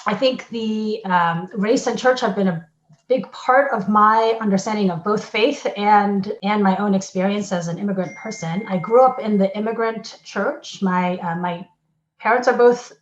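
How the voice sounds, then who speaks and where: A woman's voice: mid-range voice, moderate pace, a little hiss or hum. A woman pastor, born in the Philippines and raised in Korea and Ohio, reflecting thoughtfully on her past with many fillers and restarts. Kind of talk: personal reflection, casual. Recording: roomy, dull lo-fi sound.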